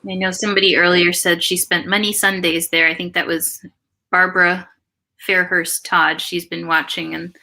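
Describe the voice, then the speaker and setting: A woman's voice: fairly low pitch, level tone, moderate pace, creaky, pushed and bright. A woman co-hosting a livestream in a casual, chatty and informal way. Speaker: livestream co-host.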